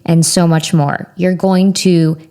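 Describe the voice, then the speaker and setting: Chatty woman podcast host, low voice. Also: level tone, takes it slowly, mellow. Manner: enthusiastic, chatty. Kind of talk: podcast interview and intro.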